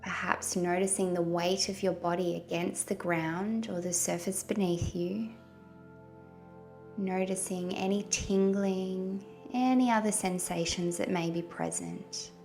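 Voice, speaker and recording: A woman leading a guided meditation in a gentle, soothing manner with long pauses between phrases. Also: fairly low pitch, steady tone, creaky. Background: soft background music.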